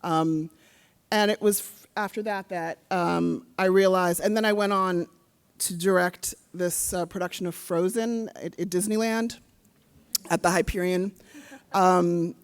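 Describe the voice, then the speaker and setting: A woman from South Africa, a theater director, telling her career story on a panel with lively energy and some laughter. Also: fairly low pitch, a little slow, clean tone. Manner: animated storytelling with laughs and fillers. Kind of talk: panel discussion answers. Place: convention panel hall.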